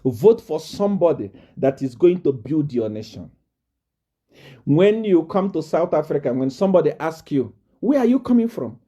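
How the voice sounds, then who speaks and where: A man from Zambia preaching a fiery live-stream address, repeating himself and rising to shouts and laughter. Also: on the high side, very animated, normal speed.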